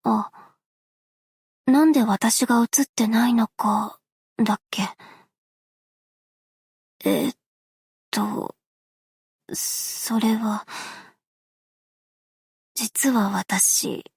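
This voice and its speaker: Soft self-effacing game girl, young woman, super breathy near whisper, slightly high voice, quiet and measured. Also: steady tone, dry recording, full character voice. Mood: hesitant, mild. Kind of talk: game character voice lines.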